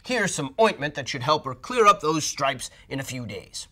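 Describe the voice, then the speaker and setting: A man voicing a fussy, pompous doctor in a storybook reading, loud and brisk. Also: on the high side, sing-song, heavily acted. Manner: rattling off lists loudly and briskly. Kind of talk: storybook read-aloud voice.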